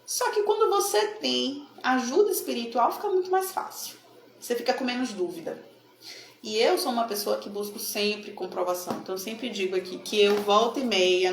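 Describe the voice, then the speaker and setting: A middle-aged woman from Salvador with a Bahian accent, talking casually to camera in a room at home about her card readings, often adding tag questions. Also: medium pitch. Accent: Bahian Brazilian Portuguese. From Salvador, Bahia, Brazil. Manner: chatty, frequent tag questions. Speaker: tarot reader and channel host. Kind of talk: casual explanatory talk to camera. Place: room at home with a plant and altar. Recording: echoey room, faint noise.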